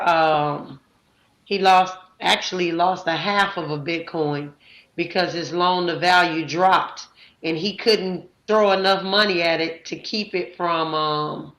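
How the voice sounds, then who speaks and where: A woman's voice: on the low side, fairly bright tone, echoey room. A woman hosting a live audio chat room, talking casually with many fillers and introducing guests. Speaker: host.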